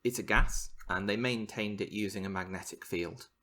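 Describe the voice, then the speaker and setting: Enthusiastic low-voiced male podcast host. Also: moderate pace. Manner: enthusiastic, animated explainer. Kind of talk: casual science chat.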